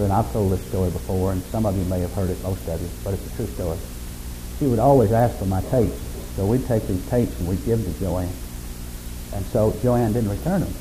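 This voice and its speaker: A man preaching a sermon in a folksy, unhurried way, with self-deprecating humor and a monotonous, mellow voice. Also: on the low side, noisy background. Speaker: pastor.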